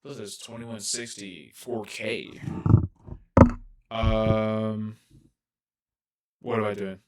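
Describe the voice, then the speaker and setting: A soft-spoken young man rambling casually through a reaction video, full of fillers and restarts. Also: on the low side, flat delivery, drawn-out words.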